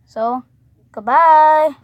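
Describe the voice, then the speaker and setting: A woman signing off her reaction video slowly and casually. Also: high-pitched, dry recording, phone-quality sound.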